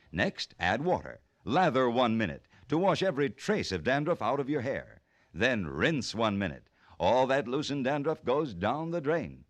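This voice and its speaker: A man's voice: medium pitch, no room sound. A man with an American accent reading scripted copy as a 1950s radio announcer.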